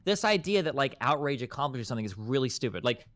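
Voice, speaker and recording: A man's voice: mid-range voice, sing-song, fast, a bit bright, no echo. A man arguing incredulously on a group call, packing his points with fillers. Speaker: streamer debating on a panel. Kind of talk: heated casual debate.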